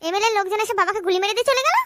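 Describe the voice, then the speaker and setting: A fed-up, nagging cartoon relative in a comic dub. The voice is extremely high and bright, accusing and very quick. Faint noise sits behind it. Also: dry recording, studio quality. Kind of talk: comic dialect cartoon dubbing.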